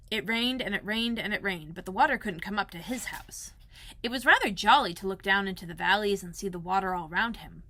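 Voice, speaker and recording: A woman narrating a children's storybook aloud in an expressive style, like a school librarian. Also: medium pitch, dry recording.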